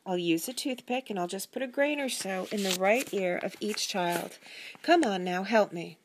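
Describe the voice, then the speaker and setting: A woman voicing a patient, sensible, gently chiding wife in a children's storybook, measured and precise. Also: medium pitch, expressive, a little hiss or hum, natural voice.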